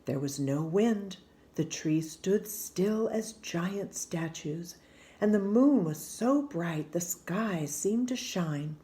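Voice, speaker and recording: A woman reading a children's picture book aloud in a gentle, hushed and expressive storytelling manner. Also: fairly low pitch, slow pace. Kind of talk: gentle scripted read-aloud narration.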